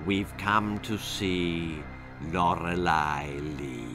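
Deep, sinister, slow doctor. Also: male voice, over music, heavily acted. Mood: menacing. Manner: slow, ominous, hushed.

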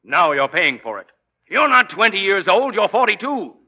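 Bossy exasperated doctor, fairly high voice, blunt, emphatic, scolding, vintage radio drama, muffled recording. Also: male voice, big pitch swings, moderate pace, breathy edge, heavily acted. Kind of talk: 1940s radio acting. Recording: dull, band-limited 1940s radio recording.

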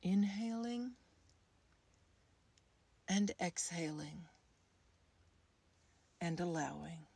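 Female meditation guide: lowish pitch, soft husky breath, soothing delivery that leaves space between phrases. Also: expressive. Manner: soothing, unhurried, with long pauses between short phrases. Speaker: guided meditation leader, creativity coach. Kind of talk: guided meditation, gentle scripted narration.

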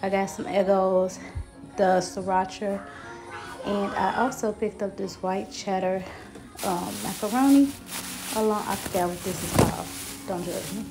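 A woman's voice: medium pitch, steady tone, a little slow, low-quality recording. A young woman vlogging at home as a busy mom, chatting offhand through her grocery haul with music playing under her voice. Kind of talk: casual home vlog voice-over. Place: home kitchen, indoors.

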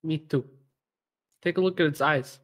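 Higher-pitched man in game roleplay. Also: moderate pace. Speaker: roleplay player acting a police officer. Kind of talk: improvised game roleplay chat.

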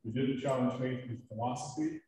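A man's voice: medium pitch, fairly even intonation, mellow. Echoey, distant man giving a club talk. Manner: halting, many ums and uhs. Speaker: guest speaker. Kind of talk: lecture. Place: large meeting room. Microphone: distant room mic. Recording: echoey room from far off, faint noise.

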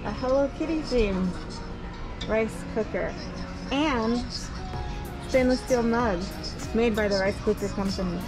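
Upbeat woman vlogging in a big store, lots of oohs, slightly high voice, loud music under it. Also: unhurried, long pauses, low-quality recording. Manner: enthusiastic, chatty, lots of "ooh" and "like". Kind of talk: casual vlog tour. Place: large indoor grocery store. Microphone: handheld camera. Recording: background music over store audio.

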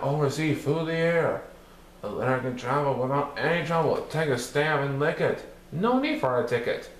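A man reading a children's picture book aloud in verse, with a theatrical, rhythmic, sing-song delivery. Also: medium pitch. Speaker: storybook reader. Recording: roomy echo with some background noise.

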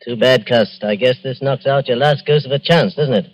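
An actor playing an impatient, vengeful gentleman in an old radio drama, curt and accusing. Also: medium pitch, normal speed, slight background noise, lightly acted. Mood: scornful. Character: impatient, vengeful gentleman hounding a murder suspect. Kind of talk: 1940s radio drama acting.

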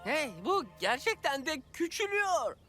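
A woman's voice: high-pitched, expressive, measured pace, exaggerated voice. A woman voicing a chatty, fussy, comic cat sidekick in a dubbed children's cartoon, hesitant and grumbling. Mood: disbelieving. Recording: loud music behind.

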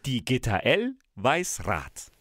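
A man's voice: medium pitch, wildly swooping pitch, slow, a bit bright, heavily acted. A man playing a smug, tech-enthusiast professor in a scripted comic dialogue, speaking emphatically and theatrically. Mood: smug, enthusiastic. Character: smug male professor, enthusiastic believer in technology. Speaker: podcast co-host in a comic professor role. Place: radio studio. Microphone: studio microphone.

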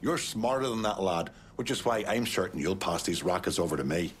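Bitter Irish mobster. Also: male voice, low voice, lively intonation, fairly quick, slight background noise. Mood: scornful, coaxing. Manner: blunt, foul-mouthed.

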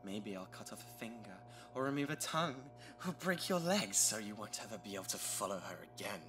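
A man's voice: fairly low pitch, big pitch swings, fairly quick. A British man playing an obsessive young yandere kidnapper in a dramatic ASMR roleplay, possessive and menacing. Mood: sadistic menace. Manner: possessive, menacing, swinging from tender coaxing to frenzy.

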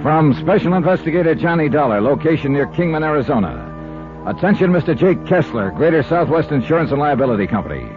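Cool radio detective, dull vintage recording. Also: male voice, fairly high pitch, music in the background. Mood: brisk, narrating. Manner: measured, wry, calm under threat. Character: cool, wry male insurance investigator hero. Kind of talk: 1950s radio drama narration and dialogue.

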